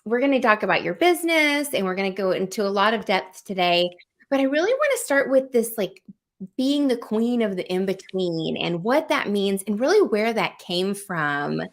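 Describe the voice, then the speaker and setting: A woman's voice: mid-range voice, big pitch swings, normal speed. A woman hosting a business podcast, reading an upbeat intro and asking interview questions with enthusiasm. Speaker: podcast host.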